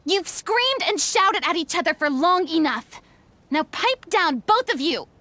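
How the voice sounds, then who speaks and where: A woman's voice: extremely high pitch, big pitch swings, a little slow, bright, forceful tone, exaggerated voice. An actress playing a commanding young woman in a video game, stern and lecturing as she scolds others.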